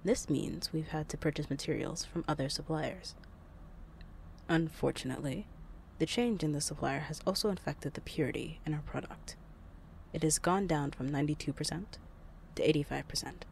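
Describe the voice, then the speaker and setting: An actor playing a loyal, composed assistant to a boss in an audio roleplay, speaking formally with a creaky voice. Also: mid-range voice, normal speed, slight background noise, natural voice. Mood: formal, grave.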